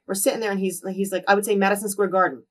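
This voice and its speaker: Woman in her 30s, quick chatty storyteller, mid-height voice, mic a little distant. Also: mid-range voice. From New Jersey. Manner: lively storyteller who acts out quoted dialogue. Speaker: interview guest. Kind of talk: casual storytelling in a sit-down interview.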